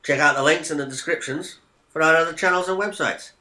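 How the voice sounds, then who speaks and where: A man's voice: fairly high pitch, a little fast, pushed and bright. A man co-hosting a podcast with casual banter, recorded with a roomy echo. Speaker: podcast co-host. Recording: echoey, roomy pickup.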